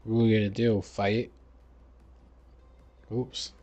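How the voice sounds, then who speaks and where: A man's voice: on the low side, level tone, takes it slowly, a little mellow. A man hosting a game livestream, bantering casually and in slang with his chat in a laid-back manner. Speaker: livestream host.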